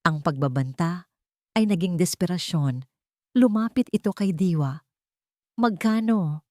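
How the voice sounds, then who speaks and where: Low-voiced woman narrating dramatically. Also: lively intonation, moderate pace, a little mellow, no room sound, studio quality. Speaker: story narrator.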